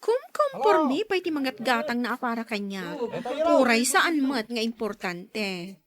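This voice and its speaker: A woman's voice: fairly high pitch, big pitch swings, moderate pace, a loud crowd. A woman acting an affectionate, thrifty young wife who is easily surprised, in an Ilocano-accented radio drama. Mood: polite, questioning.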